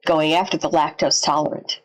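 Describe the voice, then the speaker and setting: A woman giving casual live reaction commentary as a streamer, informal and sweary as she thinks out loud. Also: sits low, steady tone, brisk, rough recording. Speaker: streamer reacting to and analysing horror videos.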